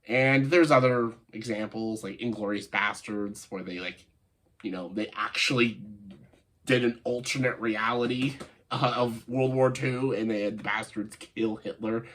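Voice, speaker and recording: A man with a stuffed-up, nasal voice from a cold, giving casual, opinionated video commentary in a halting way, stressing key words and often saying "like". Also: lowish voice, fairly bright tone. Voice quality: congested, nasal voice. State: congested, stuffed-up nose after a cold. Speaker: video host, film commentator.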